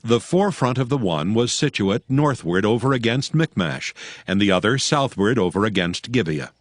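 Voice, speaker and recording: A man narrating a dramatized Bible in a solemn, measured, scripted style. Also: fairly low pitch, animated, dry recording. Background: music.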